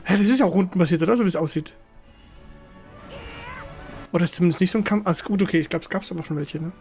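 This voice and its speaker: A young streamer with a creaky, medium-pitched voice reacts casually to a broadcast. The remarks are muttered and sceptical, in everyday colloquial phrasing. Faint music plays behind. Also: rapid-fire. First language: German. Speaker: livestream reactor. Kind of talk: casual live reaction commentary.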